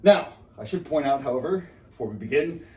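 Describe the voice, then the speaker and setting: An actor playing a nervous, henpecked husband who rambles and pities himself through a theatrical comic lecture monologue. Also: male voice, mid-range voice, animated, brisk, a little mellow, lightly acted. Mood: dismissive, agitated. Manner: digressive, self-pitying, exclaiming then trailing off. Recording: roomy, echoing, distant mic with background noise.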